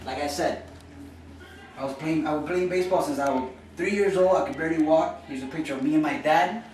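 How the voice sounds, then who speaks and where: A man's voice: on the high side, brisk, darkish tone. A young man from Cuba giving an earnest, informal classroom speech as a college student, often repeating and restarting phrases. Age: young adult. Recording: echoey room from far off with noise.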